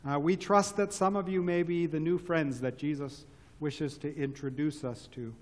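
A male pastor speaking in a measured, solemn way, reciting set prayers in a large church sanctuary. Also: a little high, fairly even intonation. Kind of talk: liturgical speech and chant. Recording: church with long reverb and organ.

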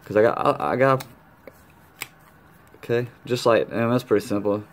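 A man's voice: medium pitch, moderate pace. A man giving a casual, hands-on vlog tutorial, talking colloquially with playful sound-effect interjections. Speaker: motorcycle vlogger demonstrating gear.

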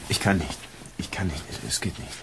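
A man acting a troubled, conflicted android hunter in a naturalistic radio drama, earnest and halting. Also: low-pitched, noisy background. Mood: torn, pleading.

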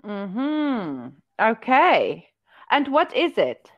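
A woman's voice: fairly high pitch, wildly swooping pitch, drawn-out words. A young woman from Africa, tutoring English on a video call and patiently asking simple questions through a headset. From South Africa. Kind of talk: casual video-call conversation practice. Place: indoor room. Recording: muffled video-call audio.

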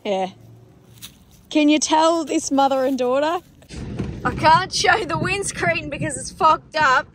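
A young Australian woman talks to her phone at arm's length, in a fairly high voice. She is wry and blunt and swears freely. Wind and engine rumble come through clearly behind her. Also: slow pace, pauses often. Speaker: farm vlogger. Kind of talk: casual vlog chat. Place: car, tractor cab and open paddocks on a windy farm. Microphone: phone camera held at arm's length.

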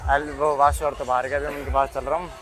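A young man chatting casually and excitably into a phone as he vlogs outdoors. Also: medium pitch, quick pace, faint music behind, rough recording. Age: young adult. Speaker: vlogger. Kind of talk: casual vlog chat. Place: outdoors on streets and grounds near a large crowd. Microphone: phone at arm's length.

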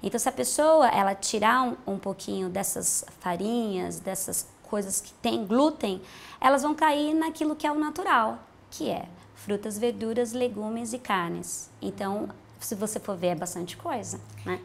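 A woman's voice: on the high side, normal speed, gravelly. A woman in her thirties with a Brazilian accent, a nutritionist explaining diet in a TV health interview, patient and plain-spoken, on a lapel mic. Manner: explanatory, patient, uses simple comparisons. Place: bright room with large windows.

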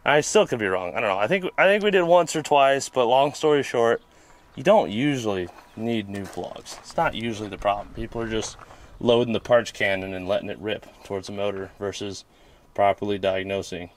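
Laid-back low-voiced man explaining repairs. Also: fairly quick, slight background noise. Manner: laid-back, rambling, swears casually. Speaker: host and mechanic. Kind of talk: casual hands-on repair explanation. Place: outdoor junkyard by a vehicle.

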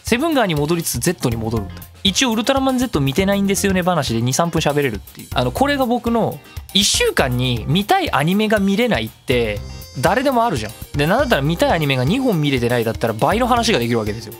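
Male radio host, quick and chatty, lively swinging intonation, rambling explanations. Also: fairly high pitch, faint music behind. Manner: chatty, digressive, explains at length with self-mocking asides. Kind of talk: casual solo radio talk.

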